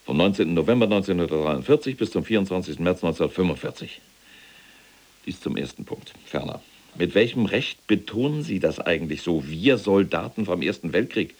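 An actor playing a pompous, pedantic middle-aged SS general in a German radio play, husky and haughty with clipped delivery. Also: male voice, low-pitched, expressive, brisk, a little mellow. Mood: stern, pedantic.

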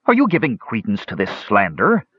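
A man's voice: on the low side, huge pitch swings, moderate pace, fairly bright tone, breathy edge, no room sound, voice pushed up, full character voice. A man voicing a proud, indignant and evasive male dwarf in a fantasy audiobook. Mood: blustering. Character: proud, blustering male dwarf accused of theft. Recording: dull, lo-fi recording.

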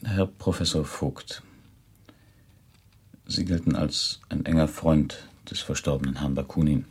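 A man's voice: rumbling low, unhurried, breathy edge, full character voice. An actor playing a polite, persistent reporter in a German radio play, formal and courteous as he probes.

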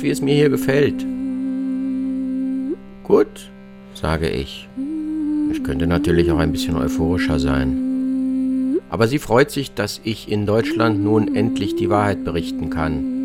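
Low-voiced narrator over loud guitar music. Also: wildly swooping pitch, slow, long pauses. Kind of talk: scripted first-person travel narration in a radio feature.

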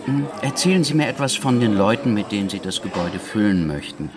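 A man's voice: fairly low pitch, animated, a little fast, over music, lightly acted. An actor playing an efficient, polite fixer in a radio drama, attentive and businesslike as he questions a client. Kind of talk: modern radio drama acting.

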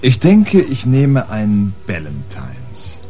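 A man's voice: fairly low pitch, huge pitch swings, slow, mellow, faint music behind, heavily acted. An actor playing a laid-back, familiar plainclothes policeman, the inspector's sidekick, in a radio play. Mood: blunt.

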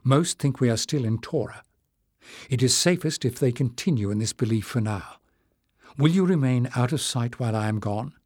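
A man's voice: mid-range voice, expressive, normal speed, darkish tone, no echo, clean studio sound, lightly acted. A man voicing an earnest, anxious young king with a controlled manner in an audiobook. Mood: anxious concern. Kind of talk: acted dialogue in audiobook narration.

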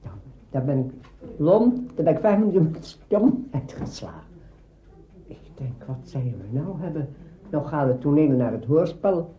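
An older man reminiscing in spontaneous, halting but emphatic anecdotes during an interview. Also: on the high side, big pitch swings, a little hiss or hum. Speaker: interviewee, veteran radio drama maker. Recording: dull, roomy archive recording.

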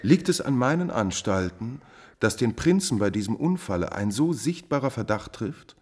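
An actor playing a calculating, coolly insolent court adviser in a classical German radio drama, with a measured, formal, insinuating delivery. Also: male voice, medium pitch, very animated, husky. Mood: pointed, accusing.

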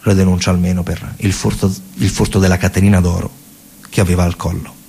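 A man with a central Italian, Roman accent performing a colloquial, emphatic theatrical storytelling monologue, pausing and repeating words, in a gravelly, husky voice. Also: low voice, level tone, dark tone. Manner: colloquial, emphatic, with pauses and repetitions. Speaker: stage actor and narrator.

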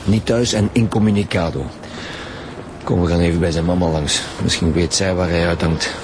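Actor as a low-voiced, dogged Flemish lead detective. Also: male voice, fairly even intonation, normal speed, background noise, lightly acted. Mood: wry, decisive. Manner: blunt, commanding. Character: dogged, brisk male lead detective of a homicide squad. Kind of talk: radio drama acting.

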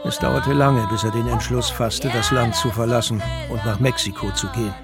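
A man's voice: medium pitch, fairly even intonation, moderate pace. A man narrating a scripted audio drama. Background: music.

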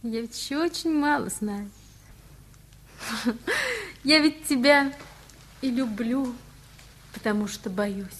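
An actress playing an adoring, timid, naive young wife with a wistful, earnest manner in a radio play. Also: female voice, fairly high pitch, slow, pauses often. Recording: clearly noisy ambient background.

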